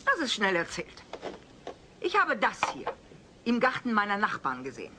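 An actress playing an earnest, indignant neighbour in a comedy radio play, speaking insistently. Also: female voice, medium pitch, wildly swooping pitch, moderate pace. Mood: earnest, affronted. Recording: noisy old cassette transfer.

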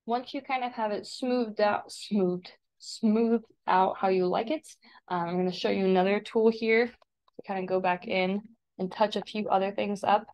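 An American woman instructor talking informally through a software demonstration, step by step, as she does each action. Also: medium pitch, fairly even intonation, normal speed. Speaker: instructor giving a software tutorial. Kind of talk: casual step-by-step screen demonstration.

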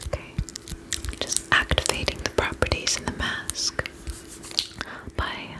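Woman, breathy whisper, very slow pace, gentle and soothing, ASMR spa attendant role-play. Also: low-quality recording. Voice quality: unvoiced whisper. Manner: gentle, soothing, reassuring, with short pauses between phrases.

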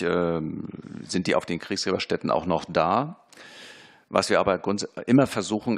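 A man answering questions as an expert before a parliamentary committee, measured and formal, often pausing with fillers and restarting sentences. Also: low-pitched. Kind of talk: formal spoken testimony.

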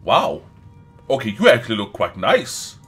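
A man commentates casually on a video game. His voice is bright and swings widely in pitch, with crude, jokey exclamations, and game music plays faintly behind him. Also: huge pitch swings, normal speed, slightly rough. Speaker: let's play gamer. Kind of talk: casual gaming commentary, reacting aloud.

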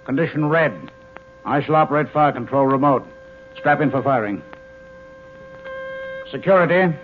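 An actor in a 1950s radio drama playing Doctor Bronson, a male scientist in charge, speaking in crisp, commanding tones. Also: fairly high pitch, moderate pace, faint music behind, natural voice. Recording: tinny old radio broadcast with hiss.

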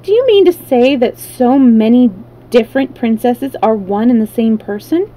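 A woman's voice: a little high, expressive, normal speed, mellow, lightly acted, low-quality recording. A woman voicing a kind, curious young girl in a storybook, speaking earnestly and directly. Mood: astonished.

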